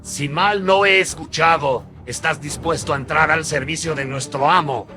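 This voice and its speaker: A man's voice: a little high, fairly even intonation, moderate pace, over music, pitched above the performer's own voice, close to an everyday voice. A man voicing a repulsive, fierce-tempered manservant who questions formally and imperiously in a horror radio drama. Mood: formal, menacing. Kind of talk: Spanish-language horror radio drama acting.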